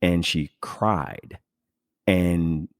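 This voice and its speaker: Man, low-pitched voice, dry close sound, podcast host, reflective, halting, earnest delivery. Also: fairly even intonation, no room sound. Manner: halting, searching for words, earnest. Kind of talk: casual reflective conversation.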